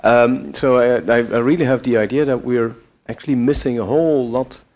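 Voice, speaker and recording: A man's voice: medium pitch, fairly even intonation, moderate pace, darkish tone. A man from Belgium whose first language is Dutch, asking a question at a conference, hesitant and repeating words. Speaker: audience member.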